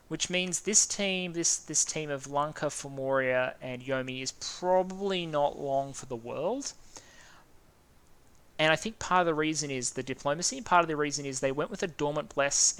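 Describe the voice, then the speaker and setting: Man, Australian accent, casual game commentary, thinking aloud, smooth clear voice, keyboard typing behind. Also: medium pitch, fairly bright tone. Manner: thinking aloud, stumbling over names. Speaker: gaming video host.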